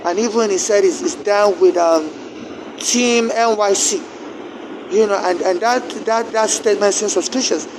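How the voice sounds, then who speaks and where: A man from Nigeria with a Nigerian accent, whose first language is not English, giving a personal account in a halting way, repeating words and restarting. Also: high-pitched, noisy background.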